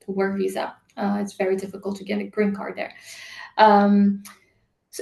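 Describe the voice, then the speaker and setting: Gravelly-voiced woman, halting answers. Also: mid-range voice, darkish tone, roomy sound. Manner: hesitant, many fillers. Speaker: HR and diversity leader. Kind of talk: panel discussion answer.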